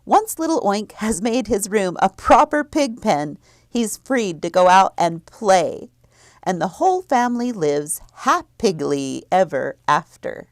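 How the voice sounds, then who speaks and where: A woman library presenter reading scripted announcements and children's book reviews, clearly enunciated. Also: mid-range voice, big pitch swings, a little slow, no echo, clean studio sound.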